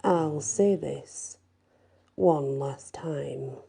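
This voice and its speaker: A woman voicing a fierce, protective male alpha werewolf in a storybook, growling slowly with menace. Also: sits low, creaky, mellow, breathy edge, exaggerated voice. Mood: menacing.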